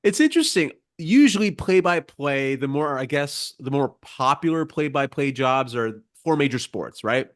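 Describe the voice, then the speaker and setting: Male podcast interviewer. Very lively pitch, mid-range voice, informal and curious. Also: normal speed, hardly any pauses. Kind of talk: casual conversational interviewing.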